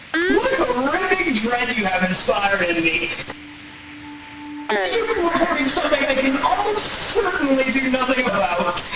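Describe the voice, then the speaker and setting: Busy little nonhuman caretaker for a game, formal n dramatic, high voice, echoey over loud music. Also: female voice, recorded from a distance. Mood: alarmed, dramatic. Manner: formal, precise wording with dramatic exclamations. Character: busy, fussy, excitable nonhuman caretaker entity of a memory space. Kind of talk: game fan dub character acting.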